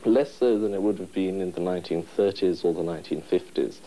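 Young male student interviewee, low mellow voice, hesitant, wry, indoor study. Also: a little fast, a little hiss or hum, poor audio. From England. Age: 20s. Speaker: union president interviewee. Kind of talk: interview answers.

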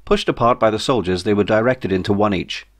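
A man with a fairly low voice narrates a comic science fiction novel. His delivery is wry and dry, as an author reading his own book. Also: a little fast.

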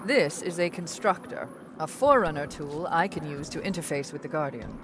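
A cool, precise scientist. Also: female voice, deep voice, expressive, normal speed, noisy background. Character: brilliant aloof older woman scientist. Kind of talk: video game cutscene acting.

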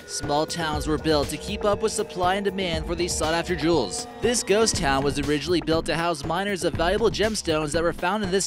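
Man narrating a countdown over music. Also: a little high. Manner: casual, lightly wry asides. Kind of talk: scripted narration.

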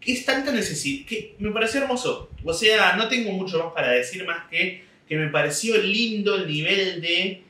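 A man's voice: sits high, expressive, moderate pace, hardly any pauses, bright, forceful tone, roomy sound, recorded from a distance. A young man with an Argentine Spanish accent telling a rambling comic story in a casual monologue. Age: young adult. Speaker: comedian podcast host. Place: small home room indoors. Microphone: desk mic on stand, camera at distance.

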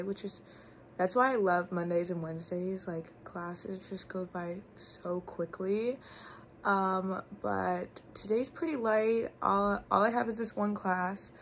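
Young woman, college vlogger, chatty filler-heavy delivery, phone held at arm's length, average pitch. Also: slight background noise. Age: young adult. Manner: chatty, peppered with "like". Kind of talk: casual vlog chat to camera. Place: small dorm room.

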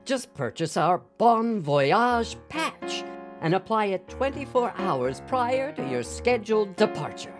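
A woman's voice: medium pitch, very animated, slow pace. A woman playing a reassuring, salesy company spokeswoman narrating a parody drug advertisement. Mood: reassuring sales pitch. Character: polished female pharmaceutical company spokeswoman, reassuring. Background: guitar music.

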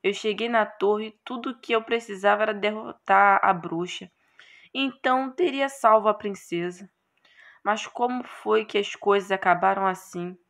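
A woman's voice: medium pitch, unhurried, vocal fry, a bit bright. A Brazilian woman voicing an indignant, complaining young male hero in a visual novel. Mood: dejected. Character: grumbling young male hero stuck in a tower.

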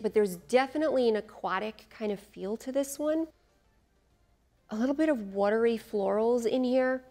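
A woman's voice: a little high, darkish tone. Middle-aged woman, chatty fragrance reviewer, lapel mic, enthusiastic and rambling. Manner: chatty, enthusiastic, thinks aloud with fillers and asides. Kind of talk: casual product review to camera. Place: studio in front of a beach backdrop.

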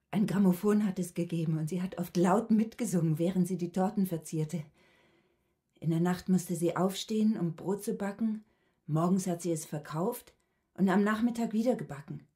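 Radio drama: actress as withdrawn female patient, thirties, low soft-toned voice, weary and reticent. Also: natural voice. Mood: subdued, matter-of-fact. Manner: quiet, halting, reticent, matter-of-fact. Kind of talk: German radio drama acting.

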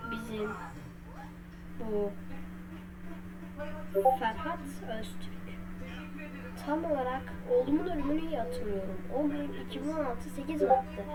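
A woman telling a story to viewers as unscripted commentary, in halting, broken phrases. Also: high voice, low-quality recording. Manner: halting, broken phrases with repeated filler words. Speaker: video creator narrating a horror theory. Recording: loud music under the voice. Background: loud music.

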